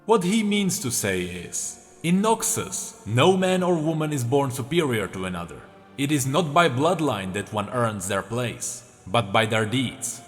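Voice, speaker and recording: A man voicing a cunning one-armed old general with a cultured, measured manner, over faint music. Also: mid-range voice, big pitch swings, in a large hall, pitched below the performer's own voice. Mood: silky menace.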